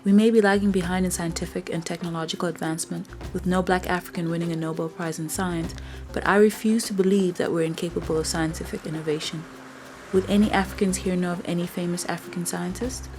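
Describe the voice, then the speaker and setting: A woman with a Zambian accent reads a scripted documentary narration in a measured, earnest way. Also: lowish voice, steady tone, a little mellow. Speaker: film narrator. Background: background music.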